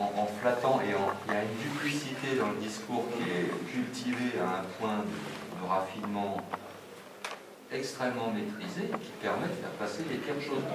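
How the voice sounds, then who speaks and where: A man at a public meeting, speaking in a measured, articulate way as he builds a critique. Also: medium pitch. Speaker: audience member in discussion. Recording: very echoey hall, far mic, loud noise.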